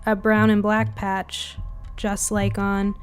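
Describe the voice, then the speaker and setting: A woman's voice: mid-range voice, completely flat delivery, takes it slowly, clean tone, faint music behind. A woman reading a scripted first-person spooky short story as its narrator.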